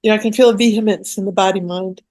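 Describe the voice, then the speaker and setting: A woman's voice: medium pitch, steady tone, fast talker, darkish tone, no echo. A woman taking part in a satsang over a video call, sharing her thoughts earnestly in long searching runs. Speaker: satsang participant. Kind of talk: sharing in group talk.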